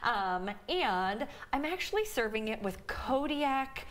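A woman's voice: fairly high pitch, big pitch swings, slow. A woman in her thirties with a Midwestern American accent, a dietitian explaining a recipe in a chatty way with many fillers during a TV cooking segment. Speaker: dietitian guest. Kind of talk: cooking demonstration on morning TV. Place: home kitchen TV set.

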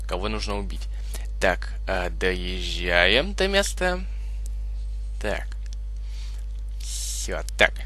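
A young man hosting a gaming video with casual, halting, improvised gameplay commentary. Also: fairly low pitch, pushed and bright. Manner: hesitant, halting, improvised phrasing.

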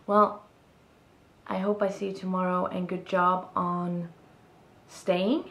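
A woman's voice: on the low side, flat delivery, slow pace, fairly bright tone, roomy sound. A young woman with a Swedish accent, speaking English as a second language, talks through her thoughts to the camera in a small room, searching for words as she goes. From Sweden. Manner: thoughtful, searching for words, gestures while talking. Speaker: vlogger. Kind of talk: reflective casual monologue to camera. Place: small room indoors, seated on a bed.

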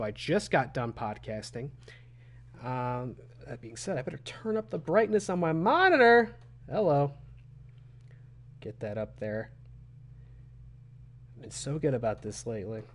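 A young man with a cold, his voice raspy and phlegmy, chatting casually as a gaming streamer from a small room at home. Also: medium pitch, big pitch swings, normal speed, long pauses. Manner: chatty, self-deprecating. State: hoarse from a cold.

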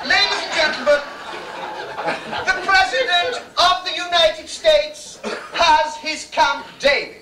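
A performer putting on a grand, bawdy pantomime hostess, addressing a live audience in an arch, drawn-out and saucy way. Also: very high voice. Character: grand, bawdy theatrical hostess in a cabaret spoof. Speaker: master of ceremonies introducing the cast. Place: theatre bar stage before a live audience. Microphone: distant camera microphone. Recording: echoey noisy bar, distant mic, rowdy crowd.

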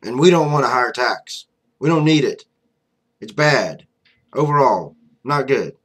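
A young man talks straight into his desk webcam from a small room, airing opinions in a loose, blunt way. He leans on fillers and asides, with a middling pitch and an everyday conversational flow. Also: lively intonation, a little slow, husky. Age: young adult. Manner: blunt, conversational, many fillers like "you know". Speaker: vlogger. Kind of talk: casual political commentary to camera. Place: small room indoors, flag on wall behind.

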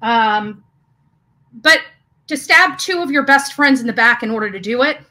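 A woman in her forties with a bright, forceful voice, talking a little high. She vents casually to the camera in a small room, stopping and starting, indignant and leaning on repeated phrases. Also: animated. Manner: halting, indignant, emphatic repetition. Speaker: YouTuber. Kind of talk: casual personal vlog rant. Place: small hotel or bedroom, indoors.

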